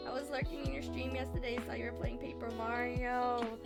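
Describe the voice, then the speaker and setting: A woman's voice: on the high side, level tone, a little fast, creaky. A young woman streamer with a slightly scratchy throat, chatting casually and giggling with her live chat. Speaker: VTuber streamer. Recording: music playing behind.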